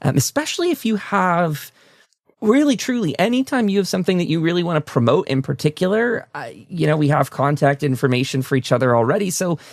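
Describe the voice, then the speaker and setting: A man's voice: on the high side, lively intonation, fairly quick, no room sound, clean studio sound. A man hosting a casual interview show, speaking effusively and often stammering over repeated words. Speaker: talk show host.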